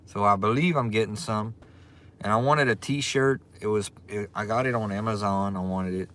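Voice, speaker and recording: A man with a strong Cajun accent, hosting a casual livestream, chatting in a relaxed way and greeting viewers by their comment names. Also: on the low side, normal speed. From Louisiana. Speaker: livestream host.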